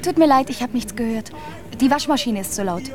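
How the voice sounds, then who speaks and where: An actress in a naturalistic radio drama playing a casual, mildly guarded married housewife. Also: female voice, fairly high pitch, lively intonation, a little fast, crowd noise, lightly acted.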